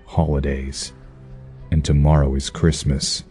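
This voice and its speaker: A man voicing a brooding masked vigilante, narrating in a terse, gruff, hard-boiled manner. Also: extremely low pitch, flat delivery, measured pace, warm tone, heavily acted. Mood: grim. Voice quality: husky, gruff. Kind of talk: audio drama narration. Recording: faint music behind.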